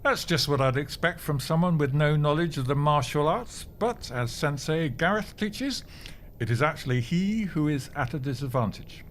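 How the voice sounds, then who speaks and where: A man's voice: a little high, lively intonation, moderate pace, a little hiss or hum, lightly acted. A man acting a grumpy, pompous, melodramatic office clerk in a comedy audio drama, blustering with theatrical indignation. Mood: self-important. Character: pompous, grumpy, melodramatic male bureau clerk, martial-arts dabbler.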